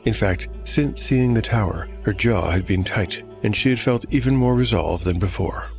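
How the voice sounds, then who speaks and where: A man giving measured, literary scripted narration as storyteller and game master of a fantasy audiodrama. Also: on the low side, vocal fry, darkish tone, soft background music.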